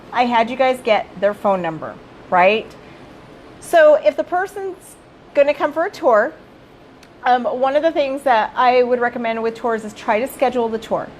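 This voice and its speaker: A middle-aged woman who directs a child care center, giving casual live-streamed advice. She is hoarse from losing her voice and keeps checking in with viewers as "you guys.". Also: fairly high pitch, a little hiss or hum. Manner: chatty, addresses viewers as "you guys", often tags "right?".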